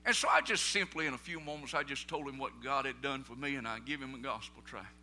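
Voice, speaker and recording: A middle-aged man with a Southern American accent preaching a sermon, telling folksy stories in plain vernacular and building up to loud preaching peaks. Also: mid-range voice, quick pace, slight background noise. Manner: folksy storytelling, rising to shouted preaching peaks.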